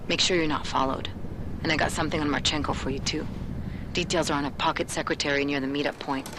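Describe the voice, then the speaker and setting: An actor playing an agent's streetwise, anxious handler in a video game, talking urgently and informally. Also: male voice, fairly high pitch, fairly even intonation, quick pace, noisy background, close to an everyday voice. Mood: briefing. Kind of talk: video game cutscene acting.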